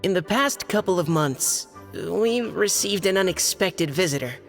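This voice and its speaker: Earnest young boy knight for a game: unhurried, polite, formal reading, reverberant hall, music behind. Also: fairly low pitch, lively intonation, creaky. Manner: careful, formal, hesitant reading aloud. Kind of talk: video game voice acting, reading a letter. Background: background music.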